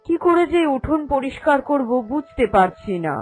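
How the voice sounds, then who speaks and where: A woman's voice: sits high, sing-song, moderate pace, faint music behind. A woman playing a grumbling, scheming daughter-in-law in a cartoon, with a whining, complaining delivery. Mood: aching, plaintive. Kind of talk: animated cartoon dialogue.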